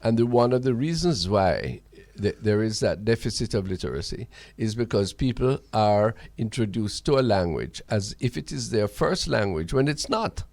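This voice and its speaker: A man's voice: lowish voice, sing-song, normal speed, few pauses, darkish tone, no echo. A Jamaican man hosting a radio talk in spirited debate, speaking in articulate, formal wording with frequent repeated words. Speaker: radio host.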